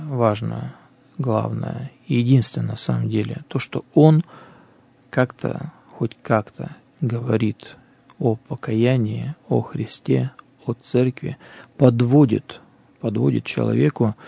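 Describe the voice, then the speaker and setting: A man's voice: medium pitch, gravelly, warm tone, breathy edge, no room sound. A man who is a priest, talking earnestly and thinking aloud in a conversational religious program.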